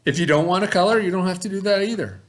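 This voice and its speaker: Man, elementary teacher, slightly high voice, informal, rambling, simple words for kids. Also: rapid-fire. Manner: rambling, simple talk addressed to young pupils. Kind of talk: informal video lesson and children's read-aloud.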